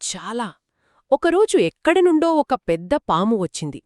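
A woman's voice: a little high, big pitch swings, normal speed, a little mellow, no room sound, clean studio sound. A woman telling a children's story conversationally, engaged and dramatic as she speaks to young listeners.

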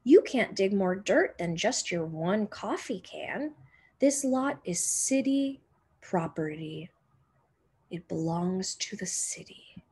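A stern old man from a children's storybook, acted out by a woman reader, grumbling and hard as nails. The words come slowly and emphatically, in a slightly husky voice. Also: mid-range voice, animated, dark tone. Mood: scolding. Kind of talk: storybook character reading.

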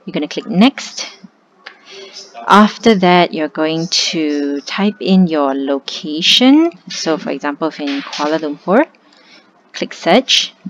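A young woman, tutoring in a relaxed, conversational step-by-step screen walkthrough. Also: low voice, takes it slowly. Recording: slightly muffled with faint hiss.

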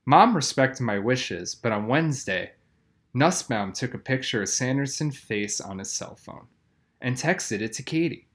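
A man's voice: medium pitch, animated, unhurried, a bit bright. A man reading a children's novel aloud, telling the story expressively with plenty of emphasis. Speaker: audiobook reader.

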